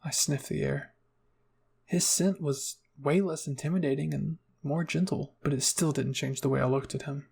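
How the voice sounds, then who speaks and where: A man's voice: a little high, fairly even intonation, moderate pace, creaky, a little mellow, slight background noise. A man narrating a scripted first-person fan fiction story as an ASMR roleplay reading.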